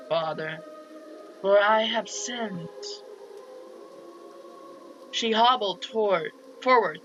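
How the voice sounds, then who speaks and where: A man's voice: high voice, pushed and bright, dull audio. A man narrating a visual novel's text aloud, dropping in casual asides as a game host.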